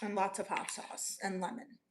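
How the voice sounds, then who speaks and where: A woman's voice: medium pitch, little pitch movement, moderate pace, noisy background. A young woman from Orlando, Florida, chatting casually with viewers as livestream host, upbeat and laughing often. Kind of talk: casual chat reading viewer comments.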